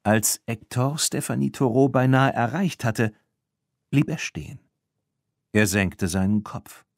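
A man narrating a children's audiobook, reading the scripted story in an expressive storytelling manner. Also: mid-range voice, a little slow, vocal fry, dry recording, clean studio sound. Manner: expressive storytelling with voiced dialogue.

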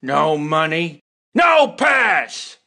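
A man voicing a gruff, greedy, curt troll executive in a cartoon. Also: a little high, big pitch swings, extremely slow, sharp tone, raised voice, full character voice. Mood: stern, shouting. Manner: curt, demanding. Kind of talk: cartoon character acting.

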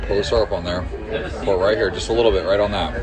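A man's voice: low-pitched, fairly even intonation, racing. A man in his forties hosting a casual travel vlog, holding the camera at arm's length as he films himself in a diner and then outside it. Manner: upbeat, talks his mother through her meal step by step. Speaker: vlogger. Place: diner in an old train car, then outdoors by it. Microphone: handheld camera at arm's length.

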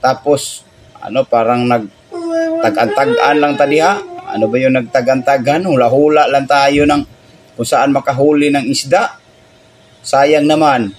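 A young man from the Philippines chats easily through a phone mic in a small indoor room. He walks the listener through the chart with friendly, repeated calls of "idol", pausing and restarting as he points things out. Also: mid-range voice, fairly even intonation, a little hiss or hum. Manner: chatty, keeps addressing the viewer as "idol". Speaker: fishing channel host. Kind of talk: casual screen-recorded chart walkthrough.

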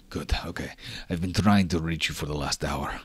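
A man voicing a stressed female ship officer who stammers anxiously in a hushed voice during tabletop roleplay. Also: very deep voice, measured pace, breathy edge, no room sound, voice pushed down, heavily acted.

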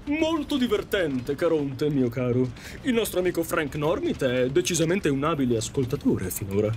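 A man's voice: fairly high pitch, very animated, normal speed, a little mellow, slight background noise. An actor playing a courtly, theatrical ghost who tells his story aboard an afterlife train in an audio drama. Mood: courteous.

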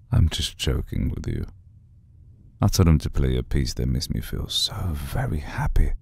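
A man voicing an aloof, menacing vampire lord in an ASMR roleplay, speaking formally and deliberately in a brooding, husky, gravelly voice. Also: very deep voice, a little mellow, heavily acted. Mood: cool, aloof.

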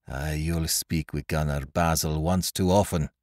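A man's voice: sits low, moderate pace, no echo, clean studio sound, close to an everyday voice. A man voicing a surly, hot-tempered sailor in an audiobook sea adventure, growling and cursing. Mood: dark, sneering.

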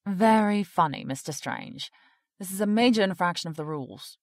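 An actress playing a prim, rule-bound research project director in an audio drama, formal and officious. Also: female voice, mid-range voice, animated, moderate pace, a little hiss or hum, lightly acted. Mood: officious, defensive.

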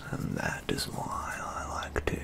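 A young man performing a soft-spoken ASMR roleplay, breathy and mostly whispered, with slow, mannered, genteel and theatrical phrasing. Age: young adult. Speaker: ASMR roleplay performer playing a model painter. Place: indoor studio with plain backdrop.

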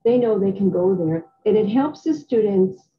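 A woman's voice: mid-range voice, normal speed, lo-fi sound, a little hiss or hum. An older woman in a documentary interview, explaining student support programs earnestly. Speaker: student success administrator.

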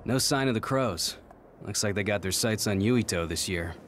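Low-voiced, curt military captain played by a man. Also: normal speed, a bit bright, slight background noise, close to an everyday voice. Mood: businesslike. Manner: curt, authoritative, matter-of-fact. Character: no-nonsense male military squad captain, dryly weary. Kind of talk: anime game voice acting.

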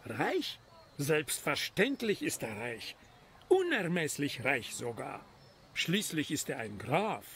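A man's voice: a little high, wildly swooping pitch, takes it slowly, fairly bright tone, a little hiss or hum, exaggerated voice. A man voicing a haughty, impatient servant of a count in a story, pompous and formally condescending.

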